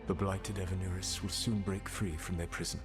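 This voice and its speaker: Guy playing proud regretful elven god, low voice, grave n formal, game music behind. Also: little pitch movement, a little fast, close to an everyday voice. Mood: resolute. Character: proud, remorseful ancient male elven god. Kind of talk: dramatic video game acting.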